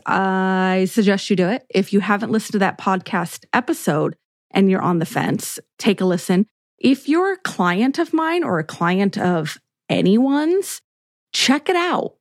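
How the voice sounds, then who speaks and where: Chatty woman podcast host, dry sound. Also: no echo. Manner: chatty, laughs often, lively pitch swings. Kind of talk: casual solo podcast chat.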